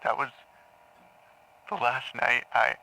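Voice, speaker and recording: Haunted, halting therapy patient, gravelly edge. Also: male voice, medium pitch, steady tone, sharp tone, a little hiss or hum, exaggerated voice, rough recording. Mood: tormented, grim. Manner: hesitant, halting, stammering, repeats words. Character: troubled man haltingly confessing dark memories in therapy. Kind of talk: audio drama acting, intimate confessional monologue.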